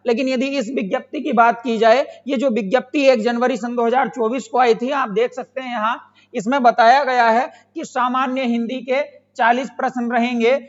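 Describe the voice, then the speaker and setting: A man's voice: extremely high pitch, steady tone, normal speed. A man who is an exam coaching teacher explains to students, repeating phrases and asking questions that he answers himself. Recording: dull lo-fi sound.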